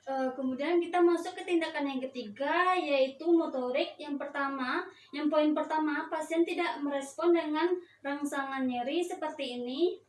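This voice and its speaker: A young woman gives a step-by-step instructional demonstration as a student, with halting, hesitant delivery. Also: sits high. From Indonesia. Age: young adult. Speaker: nursing student giving a practical demonstration. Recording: echoey room, distant mic, faint noise.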